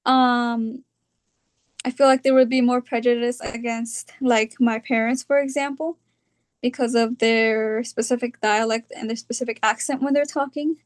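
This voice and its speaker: A young woman from California with a California accent, talking about her own experience in a reflective, hesitant way, often pausing on fillers. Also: a little high, fairly even intonation. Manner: hesitant, thinking aloud with fillers. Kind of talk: reflective personal talk.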